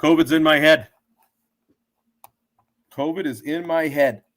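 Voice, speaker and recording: A hoarse man with a sore throat, rambling through his betting picks as a sports show host. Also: a little high, steady tone, slow, a bit bright, dry recording, clean studio sound. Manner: rambling, thinks aloud with many fillers and restarts. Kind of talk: casual betting picks talk. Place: home.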